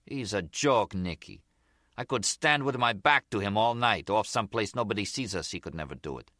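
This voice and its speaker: A man's voice: fairly low pitch, expressive, moderate pace, sharp tone, no echo, studio quality. A man voicing a menacing, boastful immigrant mob hitman, blunt and cocky in broken English, in an audiobook crime story. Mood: scornful.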